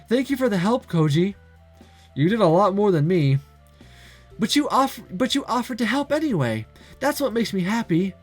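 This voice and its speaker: A sweet, clumsy young female Santa, put on by a man in a high, cracking falsetto that swoops up and down. She sobs and berates herself, her voice soft and broken. Faint music plays underneath. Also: big pitch swings, moderate pace. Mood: cheerful. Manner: high breaking falsetto, sobbing and self-pitying. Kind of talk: amateur voiced story reading.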